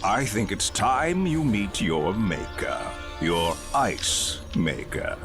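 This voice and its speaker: An actor playing a pompous, scornful toad crime boss in a theatrical, gloating, grandiose manner for a video game. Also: male voice, lowish voice, sing-song, music in the background, full character voice. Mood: smug menace. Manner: grandiose, pun-loving, deliberate.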